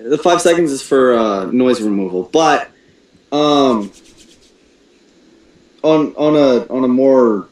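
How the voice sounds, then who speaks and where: A man casually explaining audio matters on a group call, halting and repeating words as he goes. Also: medium pitch, expressive, a little mellow. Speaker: audio technician advising a voice cast. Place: echoey room, on a voice call. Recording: echoey room over internet call.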